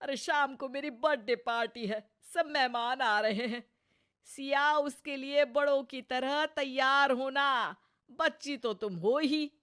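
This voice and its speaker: A woman's voice: high voice, takes it slowly, fairly bright tone, pitched above the performer's own voice. A woman voicing a scolding, sarcastic mother-in-law in her sixties for an acted moral story. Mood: exasperated. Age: 60s. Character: scolding, nagging sixty-year-old Indian mother-in-law.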